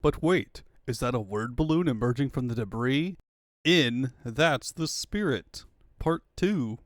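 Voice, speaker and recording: Narrator for comic dub: measured scripted reading, mid-range voice, dry sound. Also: medium pitch, animated, no room sound.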